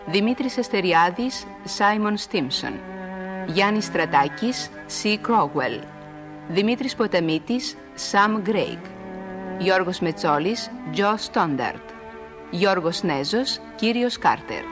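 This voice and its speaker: A woman radio announcer reading scripted credits in a formal, measured style. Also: mid-range voice, very animated. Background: music.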